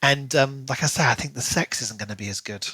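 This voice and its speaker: A man's voice: mid-range voice, fairly quick, sharp tone, slightly rough, dry recording. A man, co-hosting a podcast and chatting casually about books. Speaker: podcast co-host. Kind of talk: casual book review chat.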